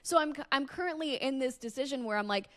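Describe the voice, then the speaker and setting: Female podcast host, on the higher side, candid and conversational, dry close recording. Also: animated, quick pace, a bit bright, dry recording. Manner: candid, swears casually. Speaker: podcast host and radio personality. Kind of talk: casual, candid podcast chat.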